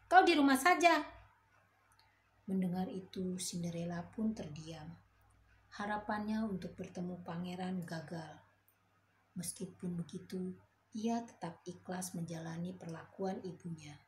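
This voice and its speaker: Woman storyteller for kids, expressive and gentle, echoey room with faint hiss. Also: lowish voice. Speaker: children's storyteller. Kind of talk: bedtime story narration.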